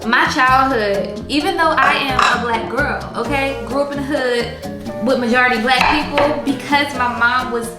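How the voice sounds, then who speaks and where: A young woman chatting casually with her audience while cooking in a home kitchen, animated and slangy. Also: sits high, normal speed. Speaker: vlogger. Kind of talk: casual chat. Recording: roomy kitchen with music.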